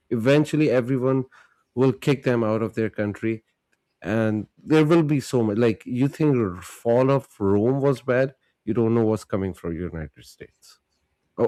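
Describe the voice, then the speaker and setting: A man's voice: mid-range voice, warm tone, no echo. Blunt, heated man in dry sound. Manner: blunt, heated, non-native grammar. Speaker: co-host. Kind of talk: casual political chat.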